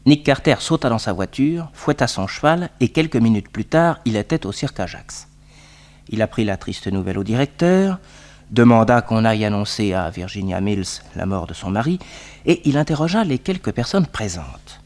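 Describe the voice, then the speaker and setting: A man's voice: lowish voice, very animated, normal speed, darkish tone. A man giving scripted narration for a detective radio serial.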